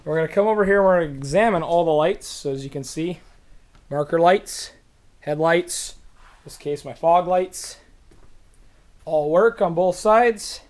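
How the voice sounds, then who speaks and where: A hoarse man hosting a car how-to video, casually walking around his truck outdoors and explaining each step to the viewer while holding the camera in one hand. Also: fairly high pitch, measured pace, pauses often. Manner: relaxed, chatty, talking to the viewer while working. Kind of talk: casual hands-on demonstration. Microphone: camera held in one hand.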